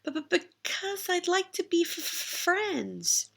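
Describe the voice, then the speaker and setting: A woman's voice: very high voice, very animated, takes it slowly, gravelly, a bit bright, voice pushed up, full character voice. A woman voicing a shy, friendly little male ogre who stammers nervously.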